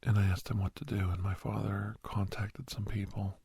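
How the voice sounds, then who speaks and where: A man playing a middle-aged doctor who reminisces earnestly in a soft, half-whispered ASMR roleplay, breathy and halting, close to the microphone. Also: flat delivery, dry recording, heavily acted. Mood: quiet, confiding. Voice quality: breathy, husky, low. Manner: halting, hushed, dramatic pauses. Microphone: close, dry microphone.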